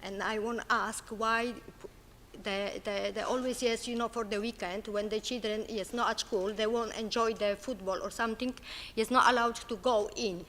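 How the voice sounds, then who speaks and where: Woman speaking halting second-language English. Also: a little high, steady tone, fairly bright tone. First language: not English. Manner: halting, searching for words in broken English. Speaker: resident asking a question. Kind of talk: public question at a council meeting. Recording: long hall reverb with faint noise.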